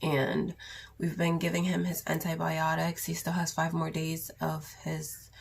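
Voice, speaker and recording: A young woman with a sore throat and cough, chatting casually in a raspy voice through a makeup haul vlog. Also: low-pitched, level tone, unhurried. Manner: chatty, rambling, with many ums and likes. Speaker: beauty vlogger.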